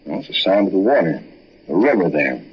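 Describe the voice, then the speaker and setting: A man's voice: low-pitched, big pitch swings, brisk, breathy edge, full character voice. An actor playing a menacing, mocking, vengeful man in a 1940s radio horror drama, speaking with taunting deliberation. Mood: impatient. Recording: muffled 1940s radio recording.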